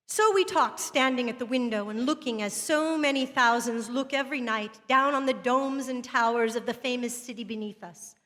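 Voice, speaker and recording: An actress as high-voiced, eloquent woman writer, cavernous hall. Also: a bit bright, natural voice. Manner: measured, literary, rhetorical delivery. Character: earnest, eloquent woman writer lecturing to women. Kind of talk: dramatic reading of a literary lecture. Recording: long hall reverb.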